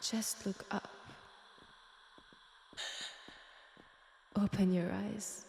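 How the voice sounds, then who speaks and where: A woman with a French accent playing an eerie, seductive guide of a magic library in a breathy, half-whispered ASMR roleplay. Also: medium pitch, level tone. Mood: luring. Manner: slow, deliberate, hushed. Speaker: roleplay voice actor. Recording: roomy long reverb, faint background noise.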